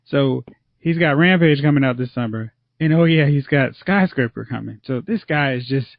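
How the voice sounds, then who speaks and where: Gravelly-voiced man hosting a movie chat. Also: mid-range voice, no echo. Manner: upbeat, chatty, quick asides. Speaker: podcast host. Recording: muffled lo-fi dry recording.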